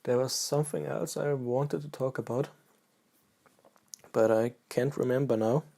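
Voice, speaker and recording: A man from Austria with an Austrian German accent, a native German speaker, chatting casually and haltingly to camera as a pipe-smoking vlogger. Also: medium pitch, creaky. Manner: halting, relaxed, pauses mid-sentence.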